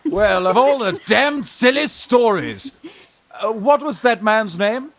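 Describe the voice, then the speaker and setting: A man in a radio farce playing a young fellow who poses as a gruff, flustered old aunt, halting and blustering. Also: high-pitched, a bit bright, dry recording, full character voice. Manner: blustering, halting, repeats words. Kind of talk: stage farce radio acting.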